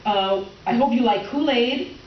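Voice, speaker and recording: A woman's voice: a little high, a little slow, lightly acted. A woman playing a middle-aged wife, hesitant and politely exasperated, in a comic stage reading. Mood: strained politeness. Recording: distant echoey room, muffled, noisy.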